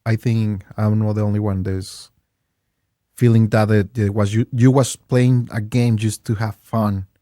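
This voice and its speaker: Young Mexican man, Spanish accent, halting English; low pitch, dry sound. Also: little pitch movement, mellow, no echo. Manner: halting, searching for English words. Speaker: guest, game store owner. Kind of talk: casual interview chat.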